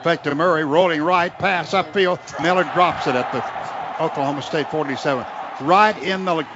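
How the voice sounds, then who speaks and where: A muffled, noisy radio broadcast of an American man doing football play-by-play. His voice sits fairly high, and he reports the action in short clipped phrases that climb as plays develop. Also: moderate pace. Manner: clipped running description, rising into excited calls on big plays. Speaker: radio play-by-play announcer for the Oklahoma team. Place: outdoor football stadium broadcast.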